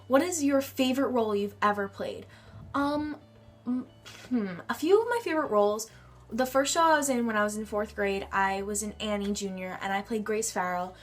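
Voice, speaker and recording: A teenage girl with a nasal, congested voice from a cold, chatting in a bubbly way in her bedroom. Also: mid-range voice. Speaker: vlogger. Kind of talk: casual chat answering a tag.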